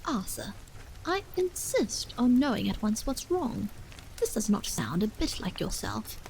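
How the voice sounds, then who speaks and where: A woman's voice: fairly high pitch, big pitch swings, measured pace, vocal fry, darkish tone, a little hiss or hum, heavily acted, poor audio. Woman voicing an anxious, vexed wife. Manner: vexed, insistent, exclamatory. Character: anxious insistent wife, quick to vexation.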